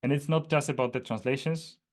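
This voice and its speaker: A man's voice: medium pitch, steady tone, a little fast. A man from Poland with a Polish accent, giving an informal technical walkthrough in a hesitant, explanatory way. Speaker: presenter demonstrating a data dictionary.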